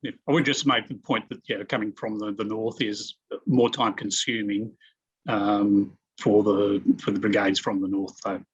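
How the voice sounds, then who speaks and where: A man answering a question at a council session, speaking haltingly and restarting his sentences. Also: on the low side, flat delivery, breathy edge, lo-fi sound. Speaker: council officer. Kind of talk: answering questions at a public meeting.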